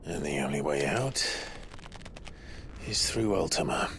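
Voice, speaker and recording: A man's voice: rumbling low, animated, measured pace, fairly bright tone, a little hiss or hum, heavily acted. A man playing a grim, resolute fantasy hero in a video game, terse and grave. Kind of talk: scripted video game drama.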